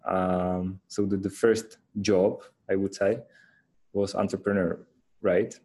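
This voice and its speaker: Guy hosting an interview, pretty monotone, relaxed pace. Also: sits low, slow pace. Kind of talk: casual interview talk.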